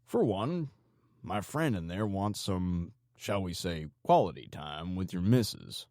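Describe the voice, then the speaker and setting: A man voicing a calm, sinister male psychopathic gangster in an audiobook, with menacing, mock-teacherly politeness. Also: fairly low pitch, measured pace, gravelly, dry recording, clean studio sound, heavily acted. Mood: calm menace. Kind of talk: audiobook character dialogue.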